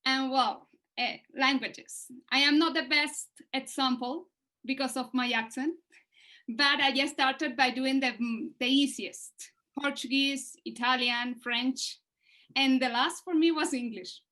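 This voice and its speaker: Slow, bright-voiced woman panelist. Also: sits high, steady tone. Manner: halting, simple phrasing. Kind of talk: panel talk over video call.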